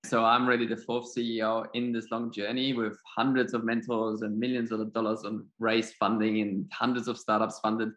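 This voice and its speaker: A man's voice: fairly low pitch, flat delivery, normal speed, few pauses, a bit bright, low-quality recording. A man from Austria with a German accent, answering interview questions in an informal, modest, conversational way. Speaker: podcast guest, startup accelerator chief executive.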